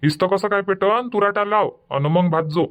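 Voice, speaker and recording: A man's voice: high-pitched, normal speed, fairly bright tone, lo-fi sound. A man voicing an evasive village farmer husband in a dubbed cartoon comedy, grumbling and bossy.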